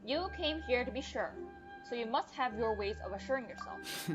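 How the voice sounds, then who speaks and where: A woman's voice: a little high, expressive, moderate pace. A woman streaming a video game, chatting casually and playfully in slang as she talks back to the characters. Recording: muffled lo-fi mic, game music behind. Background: game music.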